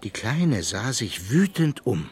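An actor playing a wistful older brother who narrates a fairy-tale adventure in a children's radio play, measured and expressive. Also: male voice, medium pitch. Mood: suspenseful. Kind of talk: scripted first-person storytelling in children's radio play.

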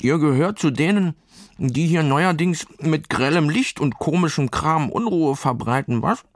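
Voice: medium pitch, lively intonation, measured pace, dry recording, pitched above the performer's own voice, close to an everyday voice. A storyteller voicing placid, timid meadow sheep who care only for grass, bleating and munching as they talk. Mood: wary. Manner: bleating, munching with full mouth.

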